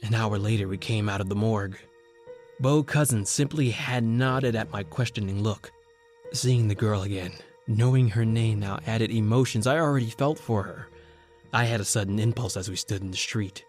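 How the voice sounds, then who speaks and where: A man's voice: on the low side, moderate pace, darkish tone, soft background music, natural voice. Brooding radio-drama reporter, played by a man. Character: haunted, brooding male newspaper reporter narrating his tale. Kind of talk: old-time radio horror acting, dramatic narration.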